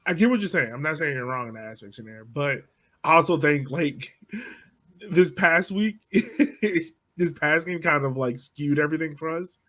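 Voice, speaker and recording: Easygoing, higher-voiced male co-host. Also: sharp tone. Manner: easygoing, leads with questions, measured pushback. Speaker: podcast co-host. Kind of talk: casual sports chat.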